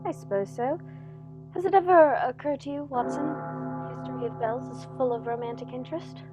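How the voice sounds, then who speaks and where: Prominent music plays behind this radio play voice. A woman plays a cool, learned gentleman detective, fairly high in pitch, who lectures drily with an air of superiority. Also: normal speed, close to an everyday voice. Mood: dryly learned. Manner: dry, lecturing.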